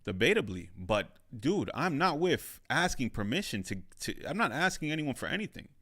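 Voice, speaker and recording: A man's voice: medium pitch, sing-song, normal speed, a bit bright. A young man hosting a solo podcast, talking emphatically and repeating his points for emphasis. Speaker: podcast host and studio owner. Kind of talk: motivational solo podcast monologue.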